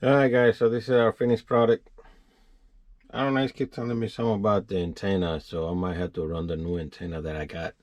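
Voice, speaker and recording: A man giving a casual, unscripted how-to for a vlog, rambling and thinking out loud as he works. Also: lowish voice, level tone, fast talker, dry recording, lo-fi sound. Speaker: vlogger showing a DIY truck installation.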